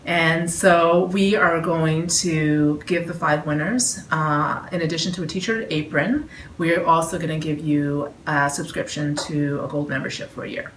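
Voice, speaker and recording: A woman with a Canadian accent, her voice sitting a little low, chatting casually with lots of fillers. She is recorded at a dining table at home by a camera some distance away, in an echoey room with faint hiss. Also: steady tone, a little slow, hardly any pauses. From Toronto. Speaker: vlog host. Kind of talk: casual announcement. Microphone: camera across the table.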